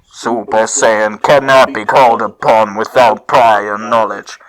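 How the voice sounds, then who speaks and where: A man's voice: fairly low pitch, fairly even intonation, crowd noise, poor audio. A man voicing a stern, weary wish-granting dragon in a solemn manner for an amateur anime fan fiction reading. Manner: slow, solemn pronouncements.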